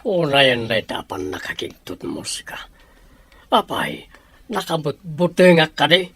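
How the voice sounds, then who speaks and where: An actor playing an intimidating boss in an Ilocano audio drama, gruff and domineering, with an Ilocano Filipino accent. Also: male voice, a little high, sing-song, a little slow, fairly bright tone, no echo, heavily acted. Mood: menacing. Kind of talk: Ilocano radio-style audio drama acting.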